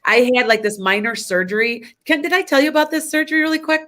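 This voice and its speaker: A woman's voice: high voice, steady tone, quick pace, fairly bright tone. A woman in her fifties or older, hosting a psychic talk show, chatty and rambling, with a stuffy nose. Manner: chatty, rambling, laughs often. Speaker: psychic radio show host. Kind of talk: casual spiritual talk.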